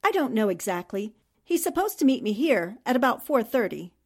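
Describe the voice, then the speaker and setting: A woman's voice: a little high, big pitch swings, fairly quick, a little mellow, husky, full character voice. An actress playing a spirited, earnest newspaperwoman heroine in a theatrical 1940s-style radio drama. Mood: breezy. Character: spirited, indignant female newspaper columnist heroine.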